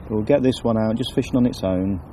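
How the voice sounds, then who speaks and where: A man's voice: fairly low pitch, fast talker, a little hiss or hum. Man talking through a fishing session. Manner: explains calmly, drops to a near-whisper by the water. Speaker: angler presenting a fishing video. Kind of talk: casual on-the-bank fishing commentary. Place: outdoors on a lake bank.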